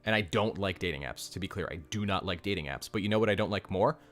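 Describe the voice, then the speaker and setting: Deep-voiced man giving blunt advice. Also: fast talker, a bit bright. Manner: blunt, direct advice, repeats key points. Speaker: relationship coach hosting a live stream. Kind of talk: casual live-stream Q&A reading comments.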